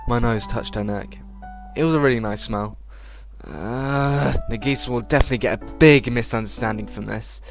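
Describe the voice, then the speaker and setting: A man hosting a let's play, reading the game's script aloud haltingly with occasional asides. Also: medium pitch. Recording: game music under the voice. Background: music.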